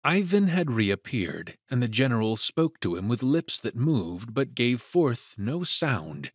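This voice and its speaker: A man narrates a dramatic story in a husky, mid-pitched voice. His delivery is very expressive, in a close, dry recording. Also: measured pace, dry recording.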